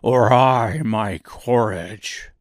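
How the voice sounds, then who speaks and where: Man doing a slow, heavy cowardly lion. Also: medium pitch, dry recording, studio quality. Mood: worried. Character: Cowardly Lion, big slow anxious beast.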